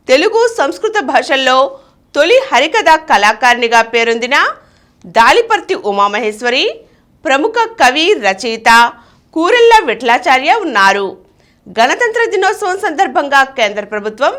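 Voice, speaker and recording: A woman's voice: high-pitched, fairly bright tone. A woman presenting scripted news headlines and reports as a newsreader.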